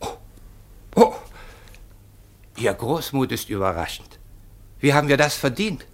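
An actor playing a ponderous, dignified government minister in a German radio play, hesitant and pompous. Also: male voice, medium pitch, lively intonation, unhurried, close to an everyday voice. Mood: flattered surprise.

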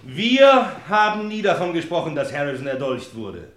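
A defensive police officer with lively pitch movement. It is 1950s radio acting, set back from the mic in a roomy space. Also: male voice, moderate pace, far from the mic.

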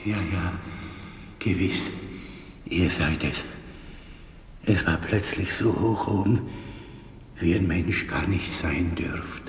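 An older man playing a timid, humble old porter in a vintage German radio play, halting and deferential. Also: low voice. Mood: grateful, wistful. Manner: halting, deferential, breaking into whispers. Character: humble, timid old messenger, poor widower. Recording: echoey vintage radio play, long reverb. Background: music.

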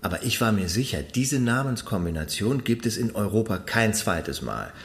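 An earnest, stubborn polyglot idealist. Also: male voice, on the low side, sing-song, moderate pace, breathy edge, no echo, exaggerated voice. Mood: eager, earnest. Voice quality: hesitant, with frequent "äh" fillers. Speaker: private scholar petitioning officials. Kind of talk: radio drama acting.

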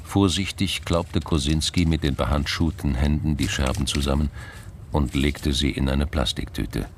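Extremely deep-voiced male narrator. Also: moderate pace, a little hiss or hum. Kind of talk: scripted narration of a radio crime drama.

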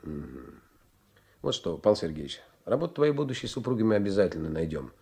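An actor playing a benevolent, persuasive middle-aged senior naval officer in a radio play, talking in a measured, encouraging way with deliberate pauses. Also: male voice, sits low, big pitch swings, warm tone.

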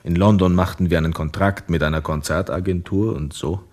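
A modest, sober bandleader in a German radio play. His voice is extremely deep. He recounts things in a measured, understated manner. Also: steady tone, darkish tone. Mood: calm, reflective. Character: sober, modest male musician and bandleader, long-lost son.

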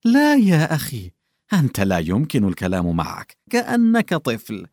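A man's voice: medium pitch, wildly swooping pitch, normal speed, a little mellow, no echo, studio quality. A man voicing a tactless, bumbling old male official who fumbles, backtracks and stammers. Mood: flustered.

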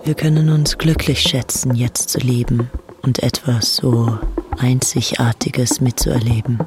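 A woman's voice: extremely low pitch, fairly even intonation, unhurried, noisy background. An actress playing an adoring, fanatical young upper-class woman devotee in a radio play. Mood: rapturous, fanatical.

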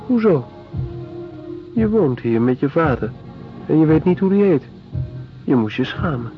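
An actor playing a grave traveller who narrates in a measured, deliberate way for a radio play. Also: male voice, a little high, big pitch swings. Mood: probing. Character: grave male traveller recounting questioning a neglected child. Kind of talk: literary first-person narration in a radio play. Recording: dull radio recording with music underneath.

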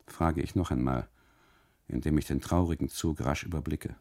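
A man narrating a literary radio monologue in the first person, with a gravelly, husky voice and an emphatic, rhetorical delivery of long winding sentences. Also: rumbling low, steady tone, normal speed, darkish tone.

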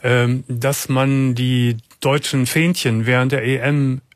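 A man's voice: mid-range voice, steady tone, slow pace, no room sound. Man, radio call-in host, blunt questions, dry studio sound. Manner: direct, asks blunt follow-up questions. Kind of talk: late-night call-in talk.

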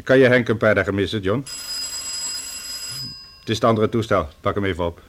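A man's voice: on the low side, fairly quick, slight background noise, natural voice. An actor in a Dutch radio play playing a businesslike, commanding police chief giving directives to his subordinates.

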